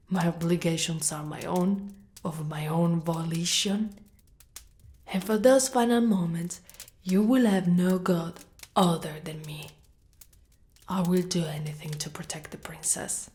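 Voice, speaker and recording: Girl doing a proud lady dragon knight for asmr, lowish voice, really slow, breathy and whispery, super protective. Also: a little mellow. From Italy. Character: proud, menacing female dragon knight, fiercely protective, ancient yet young adult. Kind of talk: ASMR roleplay acting.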